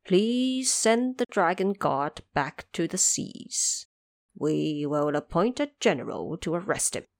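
Woman playing a solemn heavenly emperor, slow pace, commanding, measured, dry sound. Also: fairly low pitch, animated, no echo. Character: Jade Emperor, solemn male ruler of the heavens.

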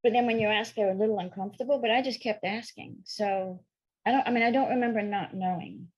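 A woman's voice: on the high side, fairly even intonation, fast talker, a little mellow, breathy edge, muffled recording. A middle-aged woman from France, raised bilingual, telling her story as a therapist guest in a frank, matter-of-fact way. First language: French and English, bilingual. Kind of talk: storytelling interview answers.

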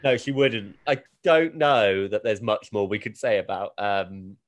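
A man's voice: on the low side, a little slow. Chatty, amused British man. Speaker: podcast host. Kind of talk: casual chat, recapping a TV show.

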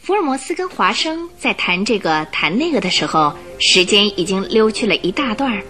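Expressive woman narrating a radio drama. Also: mid-range voice, a little fast, soft background music.

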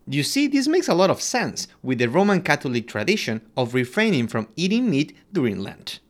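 A man from Ecuador co-hosting a podcast, reading a scripted history segment in an expressive way. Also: a little fast. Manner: animated, expressive delivery with wide pitch swings. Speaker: podcast co-host.

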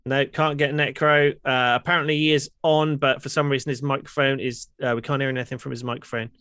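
A man hosting a chatty podcast, relaxed and full of uhs while he runs the call-ins. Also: mid-range voice, fast, clear, resonant tone, no echo, studio quality. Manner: relaxed, says uh and um a lot while running the stream. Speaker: podcast host taking calls. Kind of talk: casual chat.

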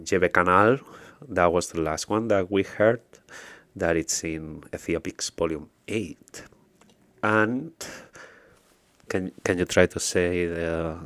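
Low-voiced man, dry close sound. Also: no echo. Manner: relaxed, hesitant, many fillers and restarts. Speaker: radio show co-host. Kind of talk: casual radio chat.

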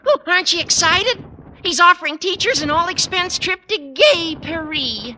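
A high-voiced, gushing teenage schoolboy. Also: animated, moderate pace, pushed and bright, exaggerated voice. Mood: eager, excited. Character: eager, flattering teenage schoolboy devoted to his teacher. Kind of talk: 1940s-50s radio comedy acting. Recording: noisy old-time radio recording.

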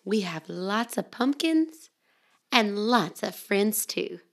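A woman hosting and reading children's storybooks aloud in an expressive, simple way for young children. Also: medium pitch, slow, vocal fry. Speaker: children's storybook podcast host and reader.

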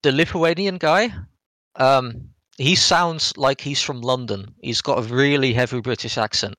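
A man talks casually as a podcast co-host going over a game. His delivery is halting and hedging as he rephrases his way along, at a middling pitch in a dry, close-sounding recording. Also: a bit bright, no echo. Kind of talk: casual rambling game review talk.

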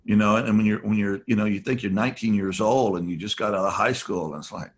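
Man, lowish pitch, reflective, rambling, conversational. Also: fast. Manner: rambling, earnest, frequent fillers and restarts. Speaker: podcast guest.

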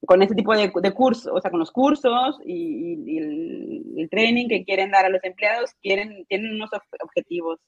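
A woman's voice: mid-range voice, expressive, normal speed, gravelly, hardly any pauses, no room sound. A woman guest in an interview explaining her course design work, hesitant, with many fillers and restarts. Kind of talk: casual interview answers. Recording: dull lo-fi dry sound.

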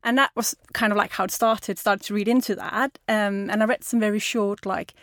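A woman's voice: medium pitch, steady tone, normal speed, fairly bright tone, no room sound. Danish-accented young woman guest, dry sound. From Denmark. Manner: thoughtful, frequent ums and likes. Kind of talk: casual interview conversation.

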